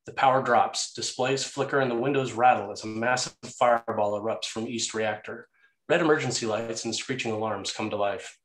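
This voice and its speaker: Male narrator for a table read: mid-pitched, scripted stage directions, echoey room sound. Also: steady tone, normal speed.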